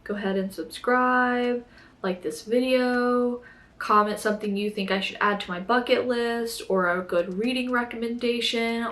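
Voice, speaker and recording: A woman's voice: mid-range voice, a bit bright. A young woman vlogging casually to camera, chatty and full of fillers, her voice strained and sore. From Florida, United States. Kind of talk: casual vlog talk to camera.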